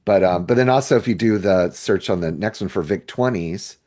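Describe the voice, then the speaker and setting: Middle-aged American male co-host, lower register, informal and conversational, thinks aloud. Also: fairly quick, dark tone. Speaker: podcast co-host. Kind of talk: casual discussion.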